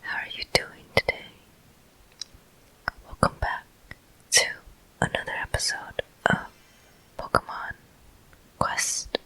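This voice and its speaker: A person whispering ASMR gameplay commentary as the host of a walkthrough, in a breathy, unvoiced, gentle and deliberate way. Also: poor audio. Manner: slow, gentle, deliberate delivery.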